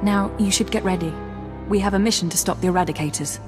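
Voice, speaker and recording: A woman plays a dedicated intelligence officer in a video game, her measured, dry delivery kept composed. She sits at a middle pitch for a woman, with a long reverb and faint music behind. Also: natural voice. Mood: tender.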